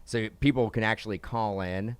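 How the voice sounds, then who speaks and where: A man's voice: lowish voice, normal speed, clear, resonant tone, no echo. A man co-hosting a podcast in a studio, rambling and restarting, filling his talk with "like" and "um". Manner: rambling, restarts often, lots of "like" and "um". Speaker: podcast co-host. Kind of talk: casual hobby podcast chat.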